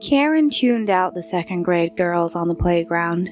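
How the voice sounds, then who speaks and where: A woman reading a scripted audio drama as narrator, measured and storytelling. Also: lowish voice, animated, a little mellow. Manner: measured storytelling, shifting into character voices. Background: background music.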